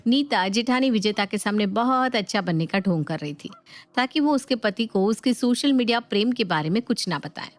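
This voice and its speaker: A woman's voice: a little high, lively intonation, normal speed, no room sound. A woman narrator reading a scripted family storybook tale. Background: music.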